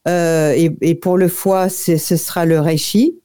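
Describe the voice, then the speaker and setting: A woman's voice: fairly low pitch, fairly even intonation, a little slow, mellow, clear, resonant tone. A woman giving direct, familiar nutrition advice on a radio show. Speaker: nutrition expert guest.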